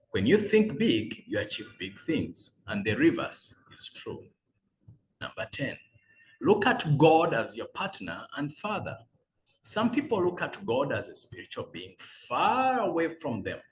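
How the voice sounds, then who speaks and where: A man, a business coach giving earnest, measured motivational advice as numbered tips. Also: medium pitch, slight background noise, poor audio. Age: 40s. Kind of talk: motivational talk read as a letter.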